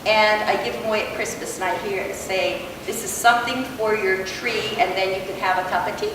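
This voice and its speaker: An older woman from Canada giving a loud, chatty workshop talk to an audience, with many fillers. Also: mid-range voice, a little fast. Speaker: workshop presenter. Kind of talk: casual hands-on talk to an audience. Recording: echoey hall, voice far from mic, background noise.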